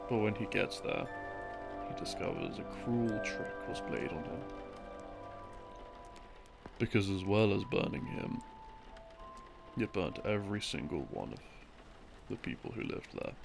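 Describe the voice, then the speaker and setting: Man acting as easygoing boyfriend, very creaky low voice, rambling bedtime storytelling, casual asides, unhurried, music underneath. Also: animated, heavily acted. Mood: relaxed storytelling. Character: boyfriend rambling through video game lore, easygoing adult man. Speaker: audio roleplay performer. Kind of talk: rambling bedtime storytelling, sleep-aid roleplay audio. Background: background music.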